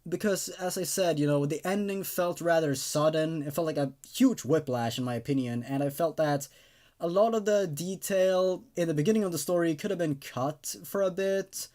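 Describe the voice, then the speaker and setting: A man's voice: a little high, moderate pace, darkish tone. A man hosting a channel, giving a casual spoken review in a hesitant, rambling way with many qualifications. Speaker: host of a story-reading channel.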